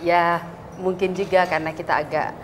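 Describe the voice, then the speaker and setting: A hoarse woman answering interview questions in hesitant, searching phrases. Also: fairly low pitch, level tone, moderate pace. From Gorontalo, Indonesia. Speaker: interview guest from a university. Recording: clearly noisy background.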